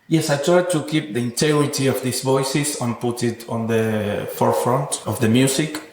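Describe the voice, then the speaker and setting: A man's voice: mid-range voice, normal speed. A man giving earnest, reflective interview answers as a guest artist, thoughtful and philosophical, with slightly non-native English phrasing. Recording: roomy echoing sound.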